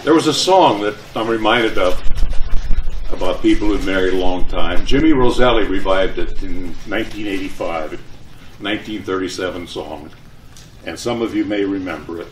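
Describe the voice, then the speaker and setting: A man's voice: low-pitched, moderate pace. An older man, a retired announcer, giving a live talk of anecdotes and reminiscences to fellow residents. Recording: echoey room, distant mic, faint noise.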